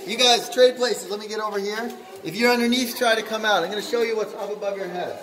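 A man's voice: high-pitched, fairly even intonation, quick pace, fairly bright tone. A man working as a cave tour guide, explaining things plainly to a group, picked up by a visitor's distant camera inside a cave. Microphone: distant handheld camera. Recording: echoey cave, distant handheld camera, noisy.

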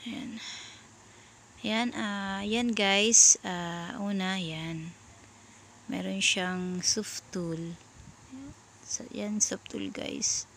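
A Filipino woman talks viewers through sewing steps as a dressmaker. Her delivery is slow and halting, casual, with searches for the right word. Her voice sits at a medium pitch, over faint background noise in a homemade recording. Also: fairly even intonation, creaky, a bit bright. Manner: halting, searching for words, often addressing viewers. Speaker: dressmaker giving a sewing tutorial. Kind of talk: casual step-by-step voice-over tutorial.

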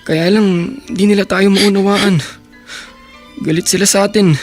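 An actor playing a weary young man gently consoling his crying partner in a radio drama. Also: high-pitched, fairly even intonation, measured pace, soft background music. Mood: sorrowful. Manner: soft, sorrowful.

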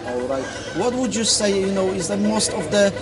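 A man's voice: sits high, animated, normal speed. A man hosting a street vlog and prompting a guest with short follow-up questions. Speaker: vlog host and interviewer. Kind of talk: street interview. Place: busy city street outdoors. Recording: crowd noise behind.

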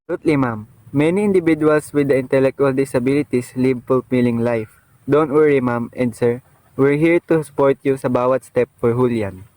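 Radio drama physician, male actor: calm, explanatory, soft-toned, reassuring, very dry sound. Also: mid-range voice, no echo, lightly acted, low-quality recording. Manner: measured, explanatory. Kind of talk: amateur radio drama acting.

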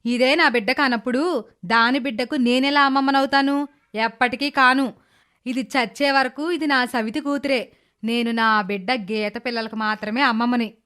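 A woman's voice: on the high side, fairly even intonation, normal speed, fairly bright tone, lightly acted. A woman voicing a bitter, hot-tempered stepmother who scolds and complains in a dramatized moral story. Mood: angry, scolding. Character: bitter, hot-tempered stepmother, grudging housewife and doting mother.

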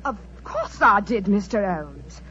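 A woman's voice: mid-range voice, very animated, measured pace. An actress playing a proud, imperious young island queen in a radio drama. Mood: haughty. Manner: haughty, commanding. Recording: dull old radio broadcast with faint hiss.

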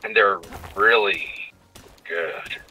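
A man on a phone call from his car, chatting casually, pausing often with "uh" between phrases. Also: on the low side, bright, forceful tone. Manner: hesitant, many "uh" pauses. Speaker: guest on a call.

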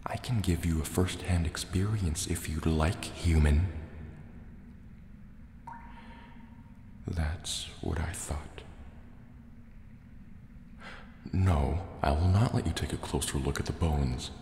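A man voicing a large, menacing, distrustful sea serpent dragon in a slow, deliberate, soft-spoken ASMR roleplay. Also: a bass voice. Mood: haughty, threatening. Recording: echoey cave reverb, faint noise. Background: water and cave ambience.

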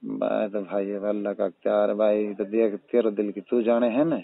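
A man with a Mewati accent talks casually over the phone. His voice is fairly low and rough-edged with husk. The recording is narrow and tinny, like a saved call. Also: level tone, normal speed, no echo. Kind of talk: casual private phone chat. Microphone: telephone.

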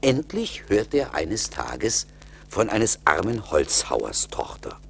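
A man narrating a scripted story as a storyteller, measured and deliberate. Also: on the low side, wildly swooping pitch, gravelly, fairly bright tone, a little hiss or hum.

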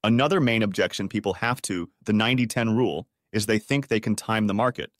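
A man presenting a scripted finance explainer in a didactic, measured manner with rhetorical questions. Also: lowish voice, no room sound, clean studio sound.

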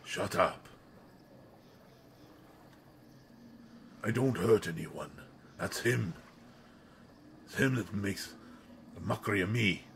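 A man playing a brutish, slow-witted but protective monster in an audio roleplay, speaking in halting phrases that swing from hushed to loud. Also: lowish voice, lively intonation, slight background noise. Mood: agitated, frustrated. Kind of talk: intimate dramatic audio roleplay monologue.

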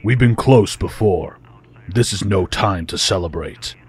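A man's voice: sits low, a little slow. An actor playing a cautious, stern male associate of a villain in an audio drama, curt and sober.

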